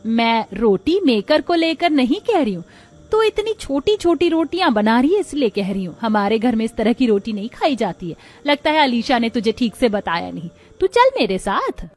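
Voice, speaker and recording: Bossy high-voiced mother-in-law, acted by a woman. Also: big pitch swings, moderate pace, a little mellow. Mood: disapproving. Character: bossy, demanding middle-aged mother-in-law of cartoon household.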